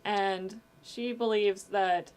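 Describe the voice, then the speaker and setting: Woman calmly explaining her story world. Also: medium pitch, slow pace, clear, resonant tone. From Texas. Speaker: podcast guest, audio drama creator. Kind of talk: casual chat.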